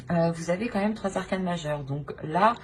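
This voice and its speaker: A woman's voice: on the low side, background noise. A woman giving an unscripted tarot reading to viewers, searching for words and often rephrasing herself. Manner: hesitant, searching, repeats and rephrases phrases. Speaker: tarot reader, channel host.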